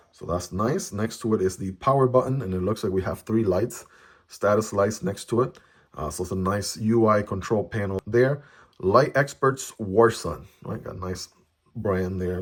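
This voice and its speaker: A man whose first language is Chinese, chatting casually through a product unboxing, often tagging his sentences with "right?". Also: on the low side. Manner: chatty, frequent "right?" tag questions. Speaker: product reviewer. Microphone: phone camera.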